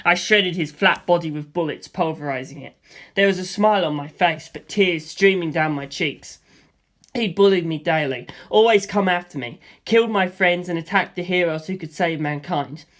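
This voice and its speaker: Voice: mid-range voice, normal speed, fairly bright tone. A narrator telling a first-person action story, voicing gunfire and explosions aloud. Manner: vivid storytelling with spoken sound effects for gunfire and explosions.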